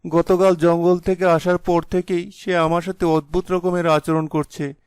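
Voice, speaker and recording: A man voicing a young village husband, blustering but easily cowed, with an exclaiming, melodramatic delivery in an animated folk tale. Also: sits high, normal speed, clear, resonant tone, no echo, natural voice. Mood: worried. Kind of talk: animated fairy-tale voice acting.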